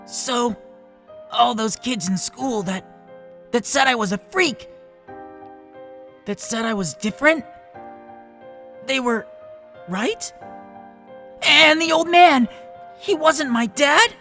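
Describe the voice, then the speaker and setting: A woman's voice: fairly high pitch, slow pace, long pauses, a bit bright, soft background music. A woman voicing an earnest young male hero in an audio drama. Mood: anguished. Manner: earnest, pleading.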